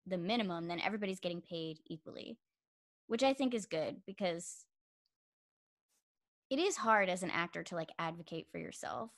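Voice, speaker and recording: A woman's voice: animated, normal speed, pauses often, fairly bright tone. Chatty young woman on a video call. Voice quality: says she sounds young with a high voice. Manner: chatty, many "like" fillers. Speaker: interviewer. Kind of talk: casual video-call interview chat.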